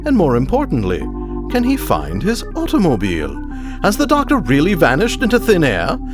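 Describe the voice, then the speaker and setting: Animated, pompous 1930s radio announcer. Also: male voice, a little high, normal speed. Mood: grandiose, salesmanlike. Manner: pompous, dramatic. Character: theatrical, grandiose 1930s male radio serial announcer. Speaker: narrator and announcer. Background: loud music.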